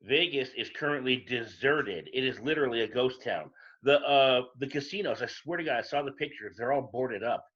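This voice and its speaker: A man's voice: medium pitch, a little fast, few pauses, fairly bright tone. A man chatting casually on a video call, telling stories in a blunt, emphatic way. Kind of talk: casual storytelling chat. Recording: dull, lo-fi call audio.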